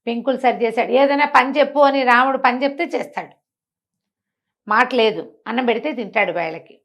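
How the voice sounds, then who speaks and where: A woman's voice: on the high side, a little fast, a bit bright. A woman telling a story conversationally, in colloquial speech, posing rhetorical questions as she goes along.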